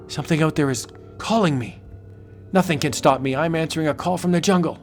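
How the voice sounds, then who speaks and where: A man's voice: on the high side, fairly quick, faint music behind, voice pushed up, close to an everyday voice. A haughty young colonial officer from a vintage comic audio drama, played by a man. He is arrogant and skeptical, issuing commands like a bully who expects to be obeyed. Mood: agitated.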